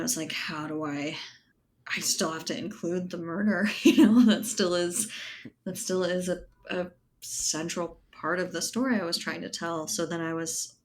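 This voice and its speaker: A woman with a low-pitched voice talks reflectively as an interview guest. She is thoughtful and conversational, often tagging her phrases for agreement. Some background noise sits behind her. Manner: thoughtful, hedging, often ends phrases with right or you know. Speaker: podcast guest, writer and editor.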